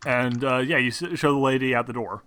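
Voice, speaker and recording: A man's voice: mid-range voice, steady tone, quick pace, sharp tone. A man acting as game master, narrating and bantering casually and informally, with some swearing. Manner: informal, profane.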